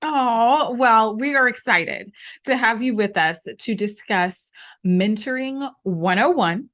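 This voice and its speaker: A woman's voice: fairly high pitch, takes it slowly, a bit bright. A woman hosting an interview, enthusiastic and affirming toward her guest. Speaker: host.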